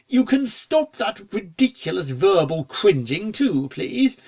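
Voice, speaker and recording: A reader voicing a suave upper-class gentleman stallion in a storybook, with a posh, drawling, breezy manner and old-fashioned phrasing. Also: mid-range voice, sing-song, slow, pitched above the performer's own voice. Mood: irritated. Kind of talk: storybook character dialogue.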